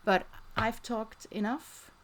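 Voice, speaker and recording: A woman's voice: fairly high pitch, slow. A woman hosting a podcast, opening with an upbeat scripted introduction and then interviewing her guest. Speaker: podcast host.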